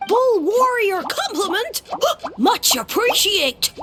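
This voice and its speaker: A woman's voice: very high voice, very animated, slow pace, heavy background noise, full character voice. A woman voicing a small timid mushroom creature in a video game, speaking in clipped broken phrases. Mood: pleading.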